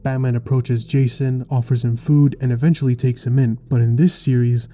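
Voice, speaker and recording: A man narrating a scripted explainer in a measured, articulate storytelling way. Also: mid-range voice, fairly even intonation, warm tone, slight background noise. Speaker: video essay narrator.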